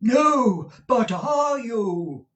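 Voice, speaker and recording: A batty old gentleman from a storybook, his voice pitched up and oddly colored, as a male reader puts him on. He drawls his compliments slowly and with grand, flowery courtesy. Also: very animated, full character voice. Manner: flowery, theatrically gallant.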